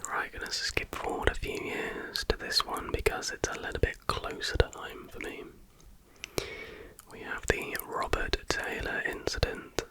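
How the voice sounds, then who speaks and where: A man whispering in a breathy, hushed voice, reading aloud informally in an ASMR style. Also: measured pace, poor audio. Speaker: ASMR host. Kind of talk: whispered ASMR reading of stories with casual asides.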